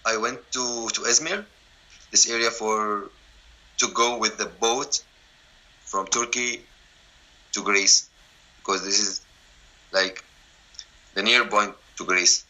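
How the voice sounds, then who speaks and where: A man in his twenties from Syria with an Arabic accent, telling his story in halting, broken English full of restarts. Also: on the low side, steady tone, sharp tone, low-quality recording. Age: 20s. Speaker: interviewee. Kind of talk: personal story, casual.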